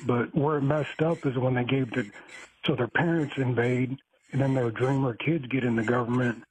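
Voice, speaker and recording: A man calling into a talk show, rambling with many halting restarts and fillers. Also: medium pitch, steady tone, a little hiss or hum. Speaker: caller. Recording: tinny phone line.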